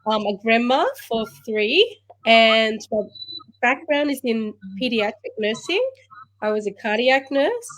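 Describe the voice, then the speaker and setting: A woman in her fifties with an Australian accent, a grandmother telling her story as an interview guest, steady with occasional fillers. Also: a little high, low-quality recording. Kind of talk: conversational storytelling.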